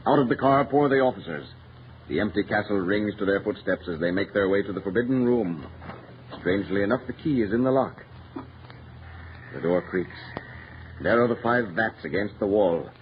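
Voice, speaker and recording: A man's voice: fairly low pitch, expressive, normal speed. A man narrating an old-time radio mystery in a grave, theatrical manner on a muffled, hissy vintage recording.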